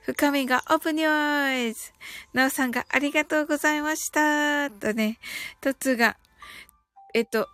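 A woman with a high voice hosts a casual livestream. She is chatty and giggly as she reads out and replies to comments, trailing little particles at the ends of phrases. The sound is dry and close, with hardly any room. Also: steady tone, normal speed, fairly bright tone, dry recording. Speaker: live audio stream host. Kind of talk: casual livestream chat, reading out and replying to listener comments.

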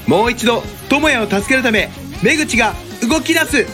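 A woman's voice: a little high, animated, normal speed, a bit bright. A woman giving dramatic, scripted trailer narration for an audio drama. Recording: music behind the voice.

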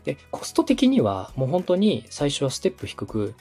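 A man's voice: a little high, wildly swooping pitch, fairly quick, darkish tone, husky, faint music behind. A man from Osaka speaking as a podcast host and radio director, coaching conversationally in a polite, explanatory, step-by-step way. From Osaka, Japan.

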